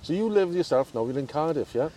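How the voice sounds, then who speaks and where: Male interviewer, fast and animated, quick interjecting questions, crowd audible behind. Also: fairly high pitch. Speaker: interviewer, host. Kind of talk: casual interview chat.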